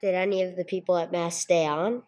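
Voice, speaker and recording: A woman's voice: fairly low pitch, fairly even intonation, brisk, a little mellow, natural voice. A woman playing a male police sergeant in an amateur radio play, terse and matter-of-fact as he narrates and questions. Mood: businesslike, probing. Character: male police sergeant, businesslike, narrating his own case. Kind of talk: 1950s police radio drama acting, amateur church production.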